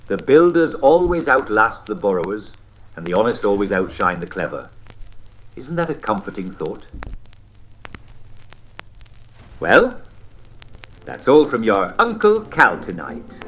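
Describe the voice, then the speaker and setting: A man playing an avuncular old-time radio propaganda host, genteel and smugly patriotic, with theatrical phrasing and deliberate pauses. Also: lowish voice, big pitch swings, fairly bright tone, highs cut off, heavily acted. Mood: cheery, reassuring. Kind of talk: vintage radio propaganda narration.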